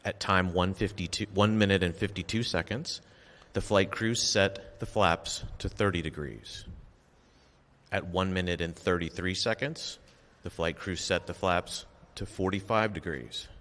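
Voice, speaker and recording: A low-pitched man delivers a formal official statement. He speaks in a measured, factual way, setting out each point deliberately. His voice carries a long reverberant echo, as in a hall. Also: a bit bright. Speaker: official giving an investigation briefing. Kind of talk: formal prepared statement read at a press briefing.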